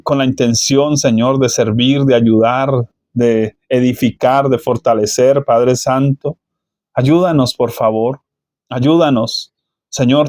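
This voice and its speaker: Guy praying out loud, slow and earnest, keeps saying lord, dry close sound. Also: medium pitch, no room sound. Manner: slow, measured, repeats "Señor" between phrases. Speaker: praying minister. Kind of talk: spoken prayer.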